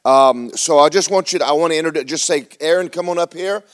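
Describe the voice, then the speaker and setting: A man with a slightly higher-pitched voice introduces a guest speaker to a church congregation. He is upbeat and rallies the crowd to welcome the guest. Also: quick pace, a little hiss or hum.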